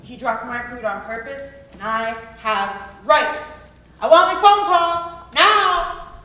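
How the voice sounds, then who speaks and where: A woman's voice: a little high, slow pace, bright, forceful tone. A woman playing an angry, defiant prisoner in a staged reading, indignant and combative. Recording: roomy stage, distant mic, faint noise.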